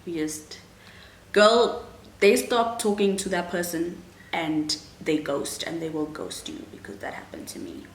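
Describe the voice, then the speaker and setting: Slow-talking young woman. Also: fairly low pitch, animated. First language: Afrikaans. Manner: chatty, frank, many "like"s and "um"s. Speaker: vlogger answering viewer questions. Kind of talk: casual Q&A chat. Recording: echoey room, faint background hum.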